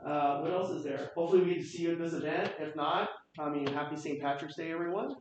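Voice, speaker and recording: A man's voice: a little high, fast talker, a little hiss or hum. A man from South Korea speaking as guest at a team meeting, chatty and upbeat, with fillers and repeats. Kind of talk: casual talk to a team meeting. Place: office meeting room with Zoom audience. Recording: echoey meeting room, mic far off, dull sound.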